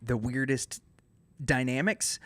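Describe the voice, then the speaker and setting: A man's voice: medium pitch, takes it slowly, fairly bright tone, no room sound. A man co-hosting a podcast in casual chat, joking and enthusiastic in an informal way. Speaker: podcast co-host.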